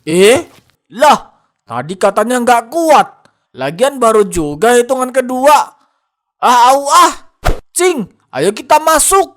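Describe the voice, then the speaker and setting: A man's voice: extremely high pitch, sing-song, slow, pauses often, a bit bright, full character voice. A man voicing a blunt, bossy, suspicious village guard in a cartoon, full of exclamations. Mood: sheepish, grumbling. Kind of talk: animated cartoon dialogue.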